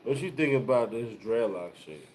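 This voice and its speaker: A young man co-hosting a casual chat, eager and quick to cut in, often repeating himself. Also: medium pitch, expressive, darkish tone, slight background noise, rough recording.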